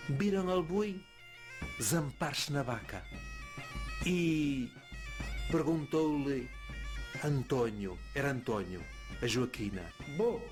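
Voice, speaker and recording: A man with a rural Mirandese accent from northeastern Portugal, telling a folk anecdote conversationally, with pauses between phrases. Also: on the high side.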